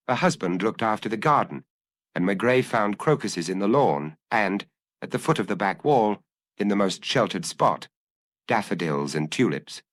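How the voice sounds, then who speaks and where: A man narrating a scripted detective story as an audiobook, in a measured, deliberate storytelling manner. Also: medium pitch, no echo.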